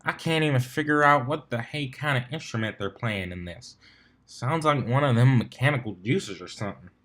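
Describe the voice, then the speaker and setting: A man's voice: mid-range voice, animated, moderate pace, fairly bright tone, close to an everyday voice. A man voicing a blunt, folksy female farm pony with a drawling country way of talking. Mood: scornful.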